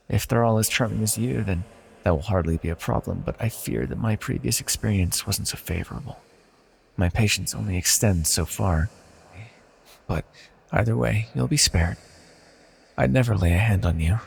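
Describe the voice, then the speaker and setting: A man playing an ancient banished villain deity in a fantasy audio roleplay, proud yet wistful and tender, speaking in a formal, measured, confessional way. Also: fairly low pitch, gravelly, breathy edge, exaggerated voice. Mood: measured, condescending affection. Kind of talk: scripted fantasy audio roleplay, intimate monologue. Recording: long reverberant hall echo.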